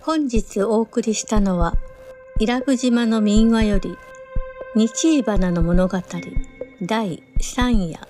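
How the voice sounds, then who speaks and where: A woman's voice: mid-range voice, takes it slowly, dark tone, faint music behind. A woman announcing a radio drama programme, reading its scripted introduction and credits.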